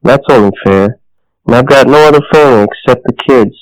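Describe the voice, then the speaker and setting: Man as a clear-voiced, plain village husband. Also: medium pitch, fairly quick, no room sound, close to an everyday voice, low-quality recording. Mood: reasonable.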